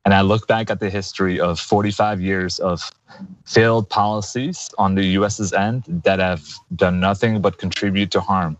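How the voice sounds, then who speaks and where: A man in his thirties answering interview questions in an earnest, halting way, with many fillers and restarts. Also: sits low, fairly even intonation, highs cut off. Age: 30s. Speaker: guest activist.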